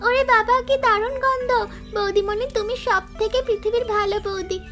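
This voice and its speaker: A woman voicing an excited, food-loving little village girl in a cartoon, exclaiming eagerly. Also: extremely high pitch, normal speed. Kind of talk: cartoon voice acting. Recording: clear background music.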